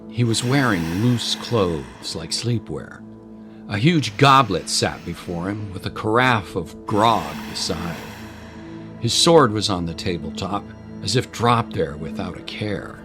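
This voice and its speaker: Low-voiced male story narrator over music. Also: lively intonation, takes it slowly. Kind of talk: scripted dramatic story narration.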